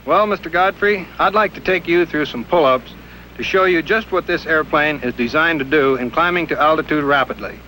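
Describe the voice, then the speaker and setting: A young American pilot gives stiff, rehearsed answers in a polite, earnest way. His voice is fairly high and sharply bright, with faint noise behind it. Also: fairly quick, rough recording. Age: young adult. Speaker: military pilot. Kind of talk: stiff, rehearsed on-camera interview.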